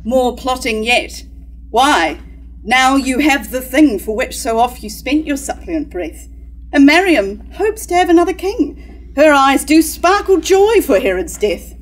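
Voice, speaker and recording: A woman playing a proud, scornful, scheming royal sister in a staged reading of verse tragedy, declaiming in measured lines. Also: high voice, very animated, exaggerated voice. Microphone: stand microphone at lectern.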